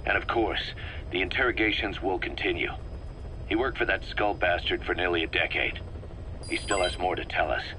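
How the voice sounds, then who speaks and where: A man's voice: low voice, normal speed, sharp tone, rough recording. A man playing a stern, distrustful mercenary officer in a video game, giving briefings in a clipped, authoritative way. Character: stern, distrustful male mercenary second-in-command briefing his commander. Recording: faint background noise on cassette recording.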